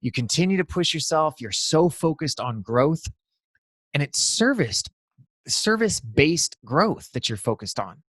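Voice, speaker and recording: A man's voice: on the high side, a bit bright, no room sound. A man hosting a podcast, wrapping up an interview and giving an enthusiastic, motivational outro that repeats phrases for emphasis. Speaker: podcast host.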